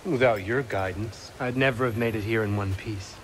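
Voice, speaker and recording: An actor playing a confident, impatient Jedi knight in a video game, with an earnest and assured delivery. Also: male voice, on the low side, moderate pace, slight background noise, natural voice, low-quality recording. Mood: warm, sincere. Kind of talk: cinematic video game acting.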